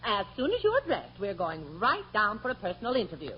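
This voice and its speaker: Nagging middle-aged wife, forties radio comedy, really animated, noisy muffled recording. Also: female voice, mid-range voice, a little fast. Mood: insistent. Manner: nagging, emphatic, theatrical. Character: bossy, scolding, superstitious middle-aged wife. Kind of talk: 1940s radio comedy acting.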